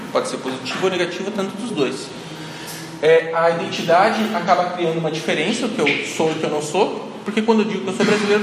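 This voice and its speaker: Male lecturer, high-pitched, keeps checking in with "right?"; roomy hall sound. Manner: frequent "né?" tag questions, thinking aloud. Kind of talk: classroom lecture. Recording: echoey hall, noisy ambience.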